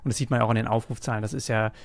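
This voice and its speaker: A man's voice: lowish voice, fairly even intonation, very fast, creaky, no echo, clean studio sound. A man hosting a casual chat, talking enthusiastically with many fillers and restarts. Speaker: host.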